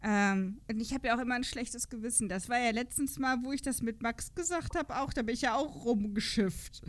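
Female podcast host, high-ish voice, informal conversational delivery, unscripted chat. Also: brisk. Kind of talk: casual chat about audio drama episodes.

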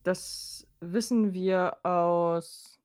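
A woman's voice: lowish voice, fairly even intonation, extremely slow, a little mellow. A woman co-host with a mid-range voice, responding casually in podcast conversation.

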